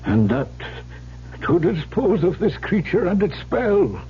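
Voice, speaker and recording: An actor playing a dying, rich old uncle in a radio drama, giving grave, emphatic warnings. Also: male voice, high voice, sing-song, slight background noise, full character voice. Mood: solemn, explaining. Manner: slow, solemn, emphatic warnings. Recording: dull, humming old radio recording.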